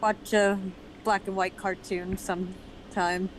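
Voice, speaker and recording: A woman's voice: medium pitch, little pitch movement, slow pace, no room sound, low-quality recording. A woman chatting casually in a group conversation. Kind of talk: casual chat.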